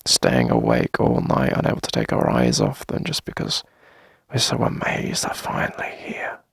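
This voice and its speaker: A man playing a tender, reassuring boyfriend in an intimate ASMR roleplay, speaking softly and close to the mic with gentle, coaxing pauses. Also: wildly swooping pitch, no echo, heavily acted. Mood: soft, wistful. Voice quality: husky, breathy, creaky low murmur. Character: tender, reassuring boyfriend longing to start a family. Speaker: ASMR audio roleplay performer. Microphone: close dry microphone.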